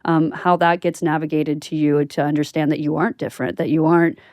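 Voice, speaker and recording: A woman's voice: sits low, steady tone, quick pace, a little mellow, no room sound, clean studio sound. A woman interviewing as a podcast host in a casual, chatty and affirming conversational style.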